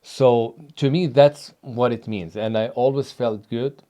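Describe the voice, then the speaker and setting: Tunisian-born male trainer, mellow tone, emphatic, halting chat. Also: medium pitch, steady tone. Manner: emphatic, hesitant with many fillers. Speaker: fitness trainer. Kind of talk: casual interview talk.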